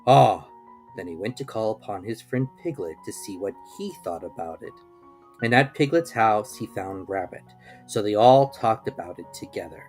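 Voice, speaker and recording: A woman tells a children's story in a low voice with animated rises and falls. Her narration is dramatic yet measured, with soft music underneath. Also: poor audio.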